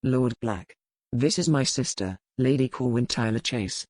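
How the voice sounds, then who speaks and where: Male reader as self-assured Regency gentleman, courtly manners, medium pitch, dry sound, for romance audiobook. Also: measured pace, no room sound, close to an everyday voice. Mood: courteous, breezy. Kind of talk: scripted dialogue in a historical romance audiobook.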